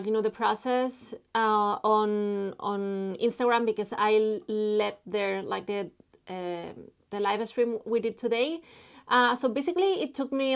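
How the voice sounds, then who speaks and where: Slow-talking woman from Spain. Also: a little high, a bit bright, clean tone. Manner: halting, many fillers, reads chat messages aloud. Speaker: artist live streaming while drawing. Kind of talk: casual live stream chat.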